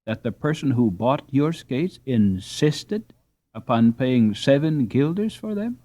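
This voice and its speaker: A male reader voicing a playful, animated young girl in a storybook reading. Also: mid-range voice, slow, dry recording, voice pushed up. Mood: coaxing. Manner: sing-song, mock-solemn.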